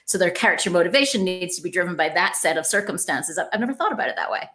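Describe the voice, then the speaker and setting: A woman's voice: fairly low pitch, animated, very fast, a bit bright. A woman hosting a casual podcast interview, chatting in an enthusiastic, reflective way. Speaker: podcast host interviewing an author.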